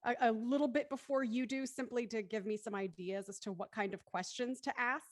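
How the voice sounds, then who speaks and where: A woman's voice: fairly high pitch, fairly even intonation, brisk, no echo, studio quality. A woman hosting a casual group discussion, talking informally as moderator. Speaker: host of a cast post-show discussion.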